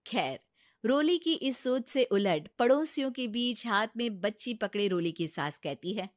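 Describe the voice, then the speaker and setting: A woman's voice: on the high side, lively intonation, measured pace, dry recording, clean studio sound. A woman narrating a scripted family story as storyteller.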